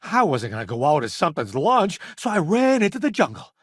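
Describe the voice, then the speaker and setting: An actor playing a vain, chatty celebrity food columnist turned space crew sidekick in a video game, with a theatrical, smug, wisecracking delivery. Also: male voice, on the high side, big pitch swings, fairly quick, no echo, clean studio sound. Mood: recounting danger. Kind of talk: video game companion voice lines.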